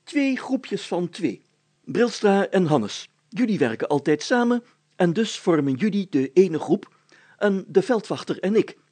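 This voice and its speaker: A man's voice: high voice, big pitch swings, unhurried, a little mellow, voice pushed up, exaggerated voice. A man voicing a pompous, bossy village mayor in a storybook, grandly declaiming like a self-styled hero. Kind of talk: storybook character voice.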